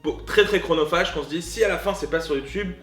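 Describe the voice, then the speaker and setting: A man speaking as an interview guest who talks fast and jumps in with loose slang. His voice sits slightly high, and the room has a clear echo. Faint music sits behind him. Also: a bit bright. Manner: quick, chiming in, casual slang. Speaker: guest, co-creator. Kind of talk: casual interview conversation.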